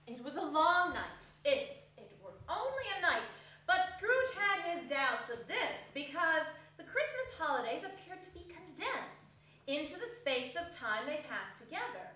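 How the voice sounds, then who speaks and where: A woman's voice: high voice, very animated, a little slow, pushed and bright. A woman giving scripted, theatrical narration in a staged reading of a classic story. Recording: echoey hall, distant microphone.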